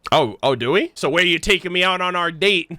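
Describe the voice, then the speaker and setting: A man giving casual, jokey, slangy commentary as he streams a video game playthrough, with an excitable manner. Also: sits high, quick pace, no room sound. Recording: game music behind voice. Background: video game music.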